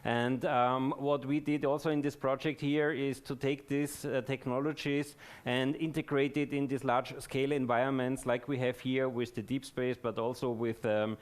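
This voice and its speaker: A man's voice: medium pitch, flat delivery, normal speed, hardly any pauses. A man presenting in a live technical talk, explaining things methodically.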